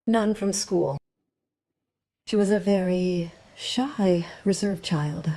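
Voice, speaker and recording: A woman's voice: fairly low pitch, takes it slowly, dark tone. An actress playing a grieving, genteel mother in a crime radio play, restrained and careful in her speech. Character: grieving, genteel mother, reserved and slightly snobbish.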